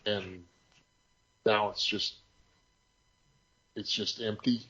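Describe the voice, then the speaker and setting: A muffled, lo-fi recording of a man with a fairly low voice. He talks very slowly and casually as a podcast co-host. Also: steady tone, sharp tone, a little hiss or hum. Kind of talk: casual chat. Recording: dull, lo-fi sound.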